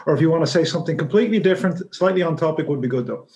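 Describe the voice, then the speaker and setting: A man moderating a panel, giving brisk, friendly instructions. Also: a little high, expressive, darkish tone.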